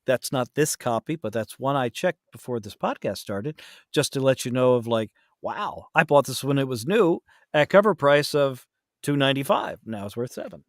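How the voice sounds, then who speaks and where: Male podcast guest, lively swooping pitch, casual and rambling, self-deprecating, close dry sound. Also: moderate pace, no echo. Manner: rambling, self-deprecating, often trailing off mid-thought. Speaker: podcast guest, audiobook narrator.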